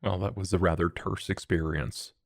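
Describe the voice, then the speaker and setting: A man voicing a composed, formal male vampire who speaks with measured, polite phrasing. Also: sits low, steady tone, creaky, no room sound, studio quality.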